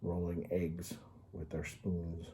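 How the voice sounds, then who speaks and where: American male storyteller, low-pitched and very mellow, reading to kids with explanatory asides. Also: monotone, a little hiss or hum. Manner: explanatory, pausing to add simple clarifications. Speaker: storyteller reading a children's history book aloud. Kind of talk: read-aloud storytelling with informal asides to young listeners.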